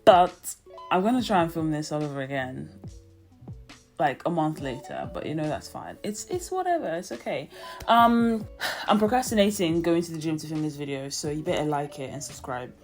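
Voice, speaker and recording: A young woman from Nigeria talks in a British accent, her voice sitting a little low for a woman. She rambles in a loose, chatty way, full of fillers and restarts. Faint music plays softly behind her. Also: lively intonation, normal speed. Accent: British, with a light Nigerian tinge. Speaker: YouTuber. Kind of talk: casual vlog monologue.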